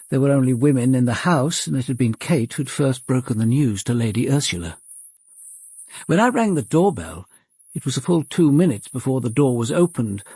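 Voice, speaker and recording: A reserved English police commander telling his case in a radio drama. The voice is gravelly and husky, in a dry, close sound. His delivery is reflective and precise, with lively intonation. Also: mid-range voice, dry recording. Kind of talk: first-person dramatic narration.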